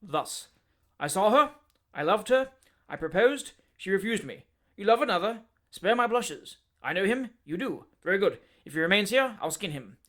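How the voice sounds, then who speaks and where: Fierce middle-aged ex-officer, acted by a man, abrupt clipped sentences, loud and blustering. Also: sits high, very animated, moderate pace, exaggerated voice. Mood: boastful.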